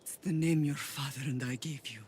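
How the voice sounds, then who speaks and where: A woman's voice: low voice, steady tone, a little fast, a little mellow, slight background noise, close to an everyday voice. An actress playing a desperate, loving middle-aged mother in a video game, pleading with great emotion. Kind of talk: dramatic video game voice acting.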